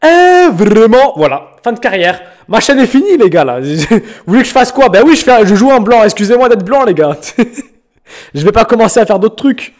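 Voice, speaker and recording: A young man from France chatting casually on a live stream, joking and teasing viewers in slangy talk with sudden loud bursts. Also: high-pitched, big pitch swings, moderate pace. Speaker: streamer reacting to viewers' music.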